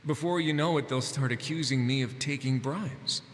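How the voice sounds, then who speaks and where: A man's voice: medium pitch, normal speed, slight background noise, close to an everyday voice. A man playing a wry, easygoing fortress administrator in a video game, with a teasing, laid-back delivery. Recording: long hall reverb, faint music. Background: faint music.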